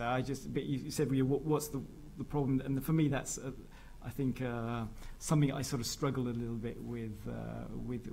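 A man's voice: medium pitch, vocal fry, darkish tone, slight background noise. A man who teaches design, speaking hesitantly on a panel with many fillers and restarts. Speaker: design lecturer. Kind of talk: panel discussion. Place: large dark auditorium stage.

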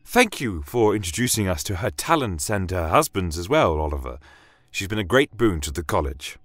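A man voicing a genial, brisk male grand master of a magic college in a fantasy audio story, formal yet chatty. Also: deep voice, very animated, a bit bright, no room sound, full character voice.

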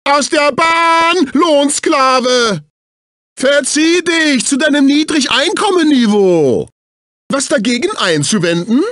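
High-pitched, prissy cartoon assistant. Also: male voice, fairly bright tone, no room sound, studio quality, heavily acted. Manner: prissy, exclamatory, condescending. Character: fussy, snobbish, servile male executive assistant, cartoon. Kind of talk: dubbed cartoon video game voice clips. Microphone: close studio microphone.

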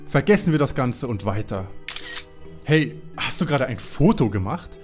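A man playing a jittery, reluctant young detective sidekick in a parody audio drama, anxious and excitable. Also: medium pitch, normal speed, soft background music, natural voice. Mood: bewildered.

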